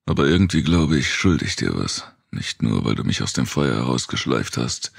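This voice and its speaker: A man voicing a guarded, skeptical male survivor in a dark audiobook. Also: a bass voice, big pitch swings, moderate pace, gravelly, husky, no room sound, studio quality, heavily acted. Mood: reluctant, honest. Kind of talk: audiobook character dialogue.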